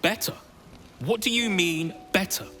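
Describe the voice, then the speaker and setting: A man's voice: sits high, a little slow, sharp tone. An actor playing a downtrodden, anxious male vampire spawn in a video game, wary and dramatic. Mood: incredulous. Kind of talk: video game cutscene acting.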